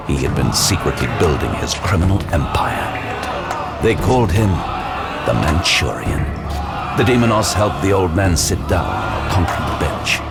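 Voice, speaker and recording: A man's voice: sits low, gravelly, full character voice. An actor playing a grave demon-hunting detective who narrates his story in an audio drama with brooding, suspenseful phrasing. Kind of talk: scripted dramatic first-person narration. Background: loud dramatic music.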